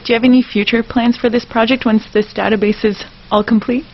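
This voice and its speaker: A woman's voice: a little high, fairly even intonation, quick pace. Woman interviewer, slightly muffled recording. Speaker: radio interviewer. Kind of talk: casual conversational questioning. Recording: dull sound with faint noise.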